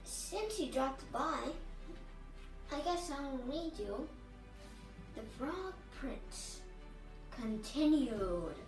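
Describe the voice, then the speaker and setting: A child with a high voice reads a storybook aloud, drawing words out expressively and adding asides. The pace is slow, and the recording is echoey, picked up from a distance. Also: big pitch swings, faint music behind. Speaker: storybook reader for a channel. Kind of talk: reading a picture book aloud with asides. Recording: distant mic, roomy echo, faint noise.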